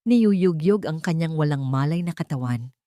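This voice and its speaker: A woman's voice: deep voice, expressive, moderate pace, dark tone, no echo, studio quality. A woman telling a scripted love story as a dramatic narrator.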